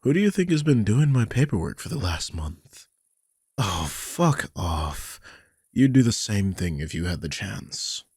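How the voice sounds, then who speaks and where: A low, husky male vampire acted by a man, old in years, grumpy and protective, talking in a sardonic, informal way with casual swearing. It is an intimate audio drama performance, recorded very dry and close. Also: very animated, unhurried, a little mellow, no echo, heavily acted. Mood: defensive, sardonic. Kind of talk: intimate scripted audio roleplay.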